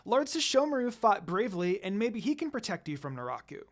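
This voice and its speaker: A sweet little girl from a fantasy tale, played by the narrator. She is loyal and earnest, eager to help and quick to insist on her point. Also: medium pitch, big pitch swings, pitched above the performer's own voice.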